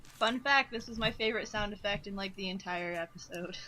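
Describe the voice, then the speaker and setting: A woman's voice: mid-range voice, normal speed, low-quality recording. A woman chatting casually as a guest on a fan commentary track. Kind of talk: casual chat.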